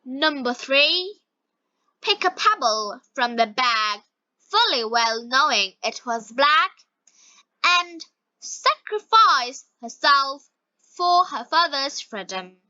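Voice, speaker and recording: A woman reading scripted story narration for language learners, word by word with frequent pauses. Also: high-pitched, big pitch swings, pushed and bright, dry recording, highs cut off.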